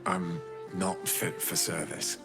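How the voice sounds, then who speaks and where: Downcast video game character, low, gravelly, weary, music behind. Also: male voice, flat delivery, slow. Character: weary, downcast man, unfit for service.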